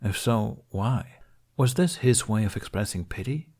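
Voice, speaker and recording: Low-voiced man reading a story. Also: lively intonation, measured pace, a little mellow. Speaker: audiobook-style reader of fan fiction. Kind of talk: scripted story narration.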